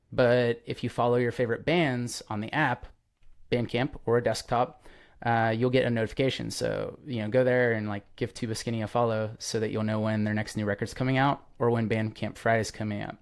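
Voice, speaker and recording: A young man hosts a podcast in an easy, rambling way, full of "um" and "like". He sounds openly enthusiastic. His voice sits at a middle pitch for a man. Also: fairly even intonation, normal speed, clean tone. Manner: enthusiastic, rambling with many fillers. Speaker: podcast host. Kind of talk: casual interview chat.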